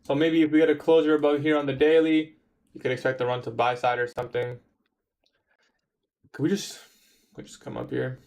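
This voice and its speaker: A man's voice: medium pitch, flat delivery, brisk, gravelly, long pauses, darkish tone, lo-fi sound. A man in his twenties chatting casually on a trading livestream, answering viewer questions in a laid-back, slangy way. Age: 20s. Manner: laid-back, slangy, says bro a lot. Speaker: livestream trader.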